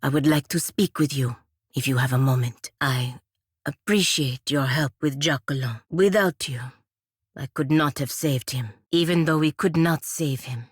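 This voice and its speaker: Stern patriotic warrior, low female voice, formal, earnest, measured, very dry recording, fantasy game. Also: breathy edge, no room sound. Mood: earnest, devoted. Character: proud, stern, devoted patriot warrior woman of a fantasy republic. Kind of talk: scripted video game character dialogue.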